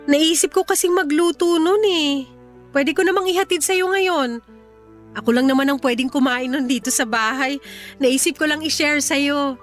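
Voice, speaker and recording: A woman's voice: sits high, normal speed, soft background music, close to an everyday voice. An actress playing a clingy young mother in a radio drama, pleading insistently with her former partner. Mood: tentative, coaxing.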